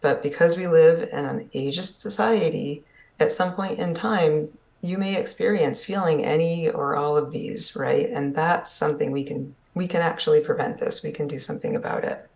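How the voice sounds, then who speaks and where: Gravelly-voiced conference presenter. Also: mid-range voice, moderate pace, darkish tone, rough recording. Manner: earnest, explanatory, often ends points with "right?". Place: summit session at an events complex.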